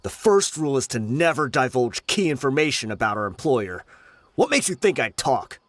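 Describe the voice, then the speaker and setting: A man's voice: medium pitch, very animated, moderate pace, fairly bright tone, no echo. A man voicing a defiant, scornful and stubborn male mercenary captive in a video game.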